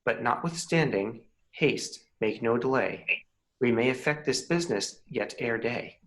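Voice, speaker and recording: A man playing a commanding, scheming fairy king, reading Shakespearean verse in a measured, declamatory way. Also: mid-range voice, expressive, fairly bright tone, poor audio. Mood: proud, urgent. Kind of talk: Shakespeare table read in verse.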